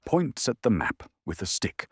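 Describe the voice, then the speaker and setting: A man narrates a scripted drama in a low, husky voice. His intonation is lively and dramatic, rising and falling as he sets each scene. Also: a little slow, no room sound, clean studio sound.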